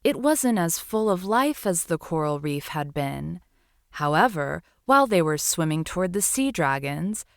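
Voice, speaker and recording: A woman storyteller narrating bedtime stories for children in a soothing, deliberate, expressive manner. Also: lowish voice, dry recording, clean studio sound.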